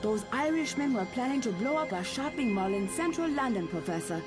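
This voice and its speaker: Woman playing a proud, high-voiced young heroine. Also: expressive, fairly quick, music in the background, close to an everyday voice. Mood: defensive. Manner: taunting, assertive. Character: proud, competitive young woman mutant student hero. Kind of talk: motion comic voice acting.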